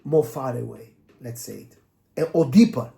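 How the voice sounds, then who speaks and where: A man who speaks English as a second language, explaining as a dance coach in a casual, halting discussion, searching for words and often adding "you know". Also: mid-range voice, vocal fry, warm tone. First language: not English. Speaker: dance coach and podcast co-host.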